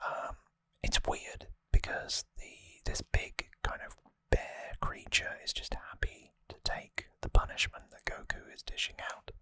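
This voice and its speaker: An ASMR host speaks in a breathy, unvoiced whisper with a bright, crisp edge. The delivery is soft and informal, like explaining a recap, recorded close to the mic with no room echo. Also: measured pace, few pauses. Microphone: close microphone, dry sound.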